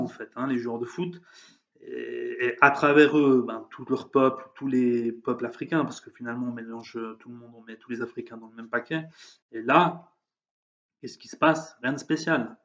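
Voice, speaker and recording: A man's voice: medium pitch, fairly bright tone, dull audio. A man giving an emphatic, heated opinion monologue, hesitating often with fillers. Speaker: vlogger. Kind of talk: opinion monologue on current events.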